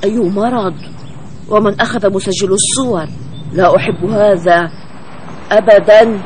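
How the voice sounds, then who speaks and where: A man voicing a friendly, puzzled young bird in an expressive, clearly spoken children's cartoon dub. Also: high-pitched, normal speed, a little hiss or hum, natural voice. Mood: puzzled, worried. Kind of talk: Arabic children's cartoon dubbing.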